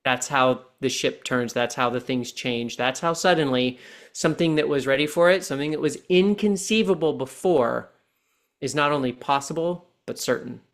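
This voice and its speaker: A man in his forties, a podcast guest and coach, talking earnestly and slowing down to stress his points. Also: medium pitch. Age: 40s. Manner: deliberate, earnest coaching tone, slows down for key points. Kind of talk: reflective podcast conversation.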